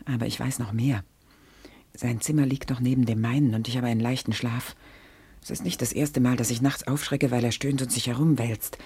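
A woman's voice: rumbling low, creaky, darkish tone, husky, heavily acted. An actress playing a scheming landlady in a radio play, whispering secrets and then pleading frantically for her captive husband. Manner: conspiratorial, turning frantic and pleading. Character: scheming, then desperate wife of a prisoner of war.

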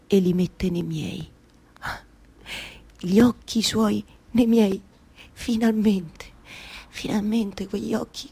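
A woman's voice: medium pitch, creaky, darkish tone, slightly rough, heavily acted. An actress with a Roman accent playing an impassioned, wistful wife in a theatrical monologue, repeating her phrases obsessively as she retells her husband's odyssey. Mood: hushed, tender. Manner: obsessive repetition of phrases, halting then surging. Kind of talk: theatrical radio monologue with live music.

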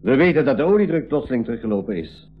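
An actor playing a brisk, practical and protective companion, giving instructions in a 1980s school radio drama. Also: male voice, mid-range voice, very animated, faint music behind, natural voice. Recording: dull, noisy old radio recording.

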